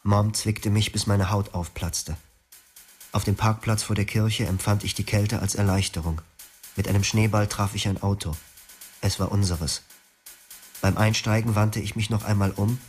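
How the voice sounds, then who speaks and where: An actor voicing a young man who recalls his starving youth in a flat, confessional radio-drama monologue. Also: on the low side, lightly acted.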